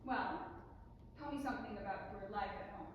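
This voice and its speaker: A woman's voice: mid-range voice, brisk, muffled recording, close to an everyday voice. A woman acting a prying, falsely friendly married woman in a staged drama reading, with a coaxing, theatrical delivery. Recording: echoey hall from far off, faint noise.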